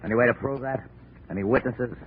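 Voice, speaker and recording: An actor playing a skeptical police lieutenant with a dry, sarcastic manner in a 1940s radio detective drama. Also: male voice, medium pitch, fast talker, slightly rough, close to an everyday voice. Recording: noisy, tinny 1940s radio recording.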